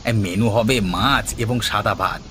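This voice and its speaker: A man's voice: fairly low pitch, a little slow, sharp tone, slight background noise. Man voicing a friendly village trader, cartoon. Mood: easygoing, fond. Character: village men, friendly married trader and his mates. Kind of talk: cartoon dialogue dubbing.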